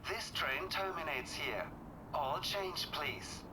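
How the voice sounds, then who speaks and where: A man's voice: a little high, lively intonation, slow, a crowd in the background. A man making recorded station announcements in a formal, evenly spaced delivery. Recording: distant, with loud train noise. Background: loud train running.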